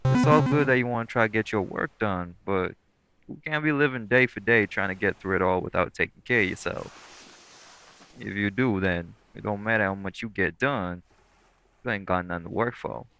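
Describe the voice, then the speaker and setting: Man playing a low, gravelly, sweet boyfriend. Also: normal speed, pushed and bright, soft background music. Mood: gently firm. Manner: laid-back, coaxing, slangy. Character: sweet, caring, teasing lightning elemental boyfriend. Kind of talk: intimate boyfriend audio roleplay, casual speech.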